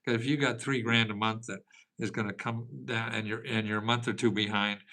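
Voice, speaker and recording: Male advice host, 80s, forceful bright tone, informal Q&A delivery. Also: fairly low pitch, steady tone, brisk. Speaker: host giving help and advice. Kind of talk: informal advisory Q&A.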